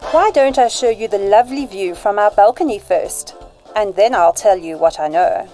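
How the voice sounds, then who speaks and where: A woman's voice: medium pitch, moderate pace, warm tone, faint music behind, lightly acted. A woman acting a poised, flirtatious informant in an amateur radio drama, polite and coy.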